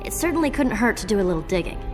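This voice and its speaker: A woman playing a level-headed, inquisitive teenage girl from a hero team in an animated game cutscene. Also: mid-range voice, racing, natural voice. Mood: sensible. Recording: music behind the voice.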